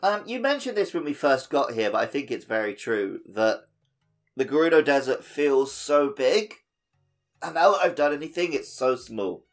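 A man's voice: mid-range voice, big pitch swings, normal speed, soft background music. A man co-hosting a gaming podcast in casual, conversational talk. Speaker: podcast co-host and editor.

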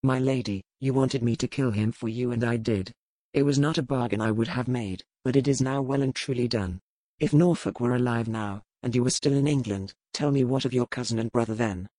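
An actor playing a brooding noble pirate captain in an audiobook, speaking in measured, formal old-fashioned phrasing. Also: male voice, medium pitch, dark tone, no room sound, natural voice. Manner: measured, cold, formal period speech. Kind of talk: audiobook character reading.

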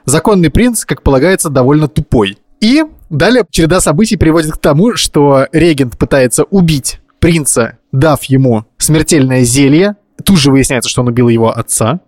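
A man's voice: fairly high pitch, lively intonation, normal speed, a little mellow, dry recording, studio quality. A man co-hosting a podcast, telling stories colloquially and acting out quoted reactions in casual chat. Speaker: podcast co-host.

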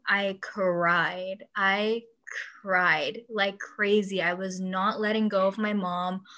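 Young woman chatting away, bright clear voice, laughs a lot, loves quoting people. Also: mid-range voice. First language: Urdu. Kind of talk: casual chat.

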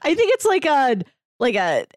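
A woman's voice: sits high, wildly swooping pitch, moderate pace, dry recording. A woman chatting casually and enthusiastically as a podcast panelist, often dropping "like" into her sentences. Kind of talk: casual review chat.

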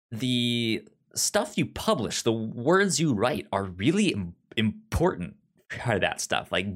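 A man chatting casually about books as a podcast co-host, stammering and repeating sounds and words as he goes. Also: medium pitch. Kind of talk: casual book discussion chat.

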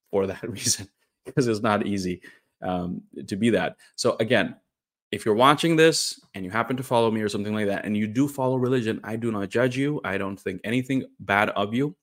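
A man's voice: lowish voice, fairly quick. A young man from India telling a personal story to camera in a candid, self-correcting way. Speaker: vlogger.

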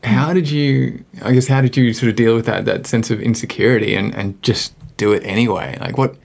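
A man's voice: mid-range voice, steady tone, brisk, creaky, darkish tone. A man hosting an interview, asking curious, searching questions and adding frequent short acknowledgements while the guest talks. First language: not English. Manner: curious, many backchannels. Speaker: podcast host.

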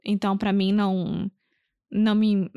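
A woman from Brazil co-hosting a casual podcast, chatty and quick to laugh. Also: medium pitch, little pitch movement, clear, resonant tone, dry recording, lo-fi sound. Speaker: podcast co-host. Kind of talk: casual language podcast chat.

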